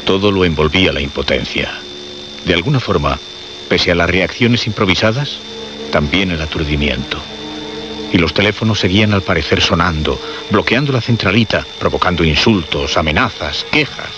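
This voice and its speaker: An actor playing the solemn male narrator of a radio horror tale, speaking in grave, measured, dramatic phrases. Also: low voice, animated, noisy background. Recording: muffled radio recording over clear music.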